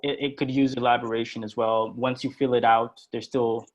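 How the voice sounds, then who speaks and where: A man's voice: mid-range voice, flat delivery, normal speed, highs cut off. A man presenting and moderating an online workshop, speaking hesitantly with many fillers and restarts.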